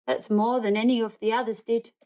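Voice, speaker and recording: A woman's voice: fairly high pitch, little pitch movement, a little fast, a little mellow, close to an everyday voice, low-quality recording. A woman voicing a polite, innocent young male bear from a storybook, earnest and childlike. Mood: pleased.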